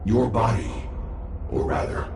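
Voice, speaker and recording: A powerful, self-assured master from a video game. His deep voice comes from a distance with heavy echo around it. He speaks formally and grandly, with lofty certainty. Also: unhurried, gravelly, a little mellow. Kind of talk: dramatic video game story dialogue. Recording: echoing distant voice over music.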